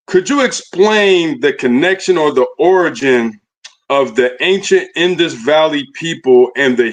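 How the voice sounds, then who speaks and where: A man's voice: fairly high pitch, a bit bright. A man with speech hosting a livestream, asking rambling questions with many fillers. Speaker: livestream host. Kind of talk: casual interview questions.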